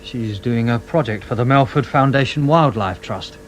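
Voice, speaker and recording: A man's voice: mid-range voice, moderate pace, slight background noise, close to an everyday voice. An actor playing a blunt, defensive, grumbling young male police constable with a British accent in a radio drama. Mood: matter-of-fact, defensive.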